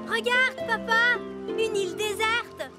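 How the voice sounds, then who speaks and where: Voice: very high voice, fairly even intonation, slow pace, loud music behind the voice. An actor voicing a cheerful, eager little elf boy in a French cartoon dub, enthusiastic in manner.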